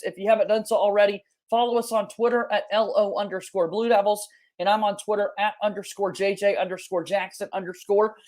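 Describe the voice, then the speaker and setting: A male sports podcast host with a high-pitched voice. He speaks in a polished announcer manner, moving between upbeat introductions and interview questions. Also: darkish tone.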